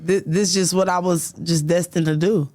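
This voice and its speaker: A woman's voice: lowish voice, fairly even intonation, brisk, darkish tone. A young adult woman rapper talking candidly in a casual interview, using laid-back street slang. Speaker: rapper, guest.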